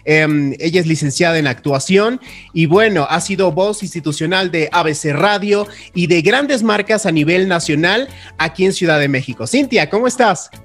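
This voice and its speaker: A man with a Mexican accent hosts a live radio talk and introduces his guests in a smooth presenter voice. His pitch sits a little high for a man. Light music plays under him. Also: lively intonation, moderate pace, fairly bright tone. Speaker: radio host. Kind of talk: radio show hosting.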